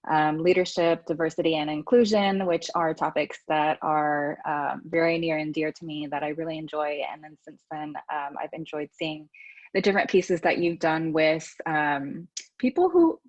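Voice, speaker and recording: A woman from Texas hosting a podcast, talking in a relaxed, chatty way with frequent ums and restarts. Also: deep voice, moderate pace. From United States. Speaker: podcast host. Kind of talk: casual conversational interview. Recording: dull lo-fi audio.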